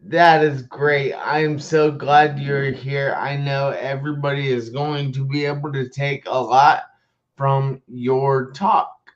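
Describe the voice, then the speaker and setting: Guy hosting a live show, excited, talks slow, kinda muffled audio. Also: medium pitch. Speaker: host.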